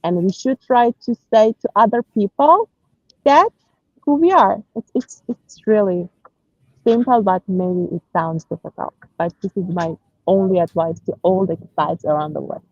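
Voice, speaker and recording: A woman's voice: medium pitch, normal speed, darkish tone, no echo, highs cut off. Iranian-accented woman, earnest and hesitant. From Iran. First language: Persian. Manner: hesitant, many fillers and restarts, earnest. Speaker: guest. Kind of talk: conversational interview.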